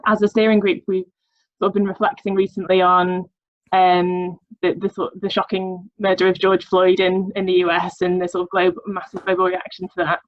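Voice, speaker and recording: A woman from a steering group announcing an upcoming event over a video call, speaking in a hesitant, measured way. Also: fairly low pitch, monotone, no echo, lo-fi sound.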